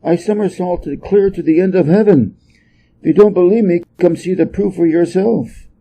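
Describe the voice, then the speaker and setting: A man's voice: high-pitched, normal speed, vocal fry, dark tone, slight background noise, pitched above the performer's own voice, low-quality recording. A man voicing a cocky, boastful monkey king in a storybook reading, brash and indignant. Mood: defiant. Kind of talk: storybook character voice.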